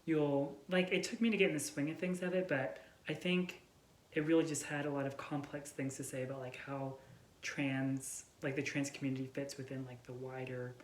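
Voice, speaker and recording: A man's voice: medium pitch, level tone, normal speed. Man chatting casually in an echoey room. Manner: informal, filler-heavy with frequent "like". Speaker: book vlogger and co-host. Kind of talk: casual chat between friends about books. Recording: echoey room with faint noise.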